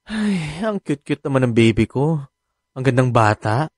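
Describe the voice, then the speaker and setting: Flamboyant, animated doting dad. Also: male voice, mid-range voice, normal speed, no room sound, studio quality. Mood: delighted, touched. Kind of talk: Filipino radio drama acting.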